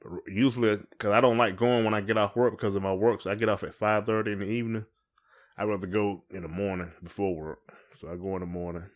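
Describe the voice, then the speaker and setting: A man's voice: on the low side, flat delivery, fast. A man with a Southern US accent co-hosting a podcast, chatting casually and colloquially with playful teasing. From United States. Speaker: podcast co-host. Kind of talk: casual couple's podcast chat.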